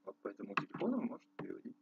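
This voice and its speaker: A man's voice: fairly high pitch, wildly swooping pitch, vocal fry, phone-quality sound, very noisy. A man from Russia rambling casually to his viewers, often breaking off and restarting his sentences. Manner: rambling, restarts often, slow and pondering on some stretches. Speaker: musician vlogger. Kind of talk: casual rambling talk to camera.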